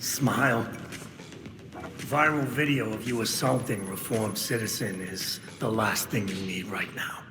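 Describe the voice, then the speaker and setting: A man's voice: lowish voice, steady tone, unhurried. A man playing a sardonic, condescending, menacing crime boss in a video game cutscene. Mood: sardonic, threatening. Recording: echoey, loud music behind.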